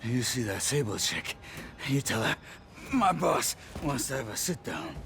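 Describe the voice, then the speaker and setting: Gravelly mob henchman. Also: medium pitch, sing-song, a little slow, fairly bright tone, a little hiss or hum, heavily acted. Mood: blunt. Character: gruff male mob henchman, blunt and sly. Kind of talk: video game cutscene acting.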